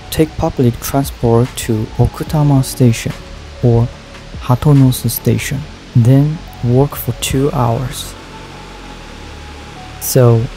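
A man narrates a travel vlog in a slow, unhurried voice-over. His tone is deep, dark and mellow, with a gravelly edge. He speaks careful, measured learner's English over soft background music. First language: Japanese. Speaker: travel vlogger.

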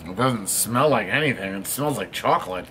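A man's voice: lowish voice, expressive, normal speed, fairly bright tone, a little hiss or hum. A man, chatting casually and swearing as he tastes candy on his vlog. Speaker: host. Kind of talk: casual candy-tasting chat. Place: studio room with equipment racks.

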